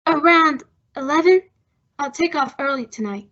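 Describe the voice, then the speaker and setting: A woman playing a brisk, no-nonsense young cigarette girl from a nightclub in an old-time radio detective drama. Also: high voice, a bit bright, highs cut off. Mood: guarded.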